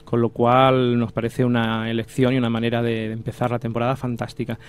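A man with a Spanish accent presents a live radio interview. His voice sits at an average pitch, and he speaks into a studio microphone as the host of the show. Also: monotone, a little fast, smooth. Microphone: studio microphone with headphones.

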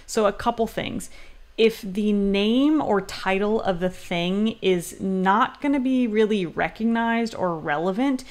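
A woman's voice: mid-range voice, unhurried. A woman in her thirties presenting as a writing coach, reading text aloud and explaining edits in an instructional style.

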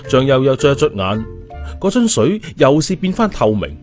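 A man narrates an audiobook in an expressive, storytelling style. His intonation is lively and his pitch sits in the middle, over faint music. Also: normal speed.

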